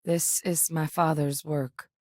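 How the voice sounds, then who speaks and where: An actress voicing an earnest female space officer in a video game, speaking game voice lines in a measured way. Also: deep voice, level tone, a little mellow, no room sound. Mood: determined. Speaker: game companion character. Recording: dry studio recording.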